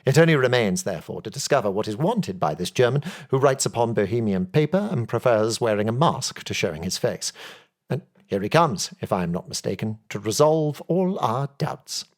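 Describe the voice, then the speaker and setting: A man voicing a brilliant, confident detective in an audiobook story, speaking in a brisk, emphatic, self-assured and theatrical way. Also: mid-range voice, animated, close to an everyday voice. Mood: keen, triumphant.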